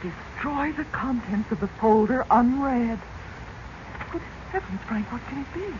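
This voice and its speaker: A woman's voice: a little high, animated, a little slow. An actress playing an anxious, grieving middle-aged widowed mother in a 1940s radio drama. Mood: grave, reading. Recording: dull, noisy 1940s radio recording.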